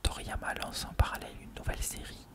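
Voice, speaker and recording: An ASMR host whispering an explanatory article reading in a measured, unvoiced voice.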